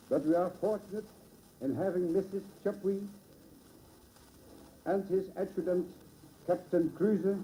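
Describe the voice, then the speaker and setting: A man with a Dutch accent delivers a formal commemorative address. His voice sits high yet sounds very dull and soft-edged. He phrases solemnly and oratorically, heard through an old 1950s radio broadcast recording. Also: a little hiss or hum. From Netherlands. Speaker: local dignitary giving a dedication address. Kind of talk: formal ceremonial speech read from a script. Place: public ceremony at a monument dedication.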